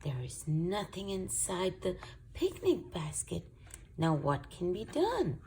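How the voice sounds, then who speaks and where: A woman hosting and narrating a children's storybook read-aloud in an expressive, deliberate way. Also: lowish voice, a little hiss or hum. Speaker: children's book reader and channel host.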